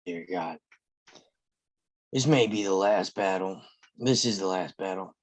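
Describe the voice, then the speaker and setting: A man's voice: sits low, a little slow. A man reading a weary, resolute Old West lawman in a live table read of a film script. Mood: solemn, praying.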